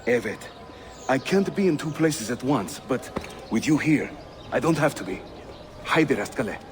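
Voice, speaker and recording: An actor with a Turkish accent playing an affable, cocky assassin leader in a video game. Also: male voice, medium pitch, expressive, normal speed, lightly acted. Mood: urgent, brisk. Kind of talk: video game dramatic acting. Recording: game audio with clear background noise.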